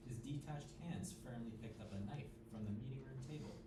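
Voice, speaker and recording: A man's voice: mid-range voice, fairly quick. A young man reading story narration as an amateur volunteer, recorded from a distance in a very noisy, echoey room. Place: indoor workshop room.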